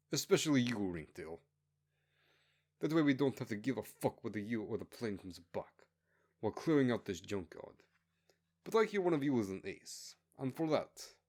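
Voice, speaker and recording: A man's voice: medium pitch, darkish tone. A man voicing a contemptuous military commander in an audiobook story, barking orders in a scornful, commanding way.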